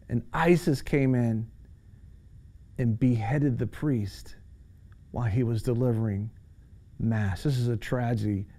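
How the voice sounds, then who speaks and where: A man's voice: medium pitch, darkish tone. A middle-aged American man hosting a news show and giving commentary in a studio.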